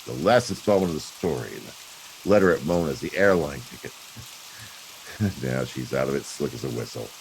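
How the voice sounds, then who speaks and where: An actor playing an impatient, sardonic private detective in a brusque, slangy 1940s radio drama style. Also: male voice, a bass voice, lively intonation, normal speed, pauses often, a little hiss or hum, rough recording.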